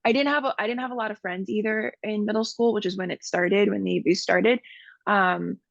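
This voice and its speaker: A woman's voice: medium pitch, fairly even intonation, fairly quick, creaky, dry recording, lo-fi sound. A woman from Miami, a podcast guest, speaking earnestly and candidly with frequent fillers. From Miami, USA. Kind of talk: personal interview talk.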